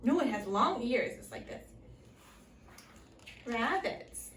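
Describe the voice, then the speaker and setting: High, lively woman teaching preschoolers, echoey room. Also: slow. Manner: simple short phrases with repeated prompts and questions. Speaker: English teacher of young children. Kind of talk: interactive preschool read-aloud lesson. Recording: echoey room, distant mic, faint noise.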